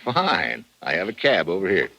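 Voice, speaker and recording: An actor playing a suave, wry American foreign correspondent in an old-time radio drama. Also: male voice, sits low, wildly swooping pitch, moderate pace, pushed and bright, a little hiss or hum, full character voice. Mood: casual. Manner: wry, casual, smooth-talking.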